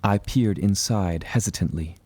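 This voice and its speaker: A man narrating a scripted first-person adventure story in a measured, dramatic way. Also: deep voice, dark tone, no echo.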